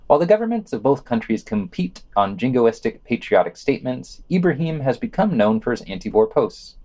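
A man's voice: medium pitch, sing-song, a little fast, warm tone. A man reading scripted news summaries and quotations for a podcast, adding casual, sardonic asides.

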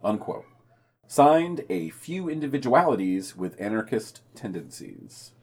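A man's voice: on the low side, big pitch swings, a little slow, a little mellow. A man co-hosting a podcast, reading scripted news items and bantering casually. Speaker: podcast co-host and news reader.

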